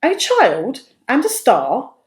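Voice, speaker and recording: A woman's voice: high-pitched, wildly swooping pitch, takes it slowly, pitched above the performer's own voice, heavily acted. A woman voicing a kindly, eager old peasant woman in a storybook folktale, hospitable and exclamatory. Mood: astonished.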